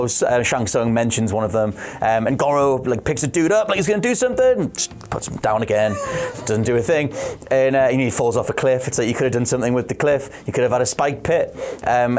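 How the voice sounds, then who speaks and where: Mid-pitched man chatting enthusiastically. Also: a little mellow. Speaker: video channel presenter. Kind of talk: casual chat, film opinion.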